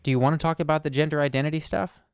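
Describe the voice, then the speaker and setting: A man hosting an anonymous call-in circle, speaking softly and searchingly with many pauses and fillers. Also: fairly high pitch, steady tone, smooth, no room sound, studio quality. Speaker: host. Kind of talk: listening call-in conversation.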